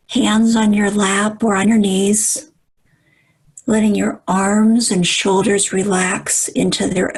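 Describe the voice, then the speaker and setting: Slow, breathy woman guiding meditation. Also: medium pitch, poor audio. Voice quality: soft, breathy, at times near whisper. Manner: calm, soothing, measured phrases with long pauses. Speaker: meditation guide. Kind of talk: guided meditation.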